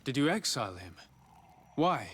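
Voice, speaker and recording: A man playing a calm, diplomatic adventuring envoy in a video game, speaking in a level-headed, polite way. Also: mid-range voice, moderate pace, slightly rough. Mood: calm, inquiring. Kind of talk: video game cutscene acting.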